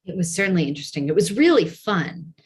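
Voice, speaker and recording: A woman's voice: on the low side, big pitch swings, fast. A woman speaking as a theater director on a video-call panel, casual and enthusiastic about the work.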